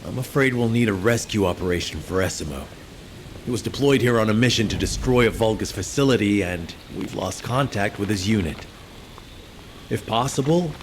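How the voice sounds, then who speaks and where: An actor playing an earnest military field officer in a game, briefing in a businesslike, matter-of-fact way. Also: male voice, on the low side, a little hiss or hum, natural voice. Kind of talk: scripted game dialogue.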